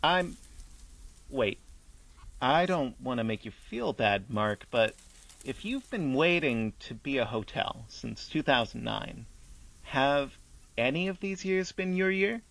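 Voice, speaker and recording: A man's voice: mid-range voice, expressive, takes it slowly, gravelly, a bit bright, full character voice, poor audio. An actor in a radio play as a friendly, curious man conducting a conversational interview. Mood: curious, gentle.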